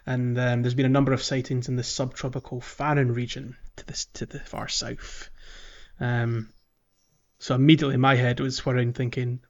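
A man's voice: medium pitch, flat delivery, normal speed. A man hosting a casual podcast chat, chatty and laughing often between fillers. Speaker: podcast host. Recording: dull lo-fi podcast audio.

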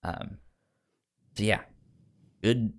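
A man co-hosting a casual film debate, stuttering on syllables and words as he talks in an animated way. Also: lowish voice, drawn-out words. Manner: animated, acts out mock quotes. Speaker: podcast co-host debating films.

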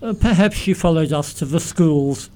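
A man's voice: a little high, moderate pace, darkish tone, natural voice. A man playing a mild, pedantic clergyman, formal and courtly, in a theatrical radio comedy. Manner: formal, pompous, solicitous.

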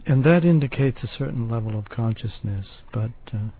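A man on a discussion panel, speaking slowly and hesitantly with many fillers. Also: mid-range voice. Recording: tinny phone-like sound.

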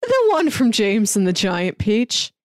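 A woman's voice: mid-range voice, very animated, measured pace, dry recording. A woman co-hosting a podcast, chatting casually and playfully about pop culture. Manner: playful, informal. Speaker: podcast co-host.

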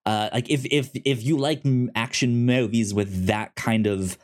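A man co-hosting a podcast, chatting casually about films in a halting way, often stammering and repeating syllables. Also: medium pitch, steady tone. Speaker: podcast co-host. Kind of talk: casual film review chat.

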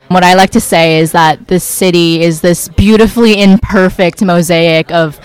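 A woman's voice: fairly low pitch, rough recording. A woman reflecting thoughtfully and articulately on city life. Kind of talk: personal reflection.

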